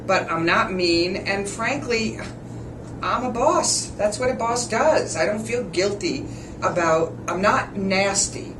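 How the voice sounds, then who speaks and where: A woman in her fifties with a deep voice, co-hosting a casual chat in a blunt, direct, intense way. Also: slow. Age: 50s. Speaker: show co-host. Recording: roomy distant mic with background noise.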